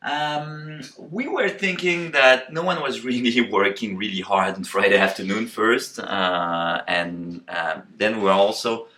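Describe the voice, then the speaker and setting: A man in his forties with a French accent, answering quick questions on a tour of his company's office, with many fillers and restarts. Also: lowish voice, bright, forceful tone. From France. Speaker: company co-founder. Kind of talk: rapid-fire walking interview. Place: company office in Paris, indoors and terrace.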